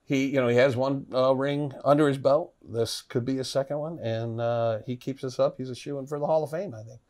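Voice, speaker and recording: A man's voice: medium pitch, fairly even intonation, brisk, a little mellow. A middle-aged man hosting a cooking show from a kitchen set, chatting upbeat about football while he cooks. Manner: chatty, upbeat sports talk. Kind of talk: casual chat while cooking.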